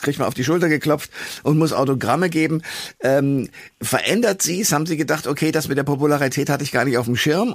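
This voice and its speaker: A male radio host of average pitch interviews in a conversational style. His questions wander a little, and the sound is dry, with no room echo.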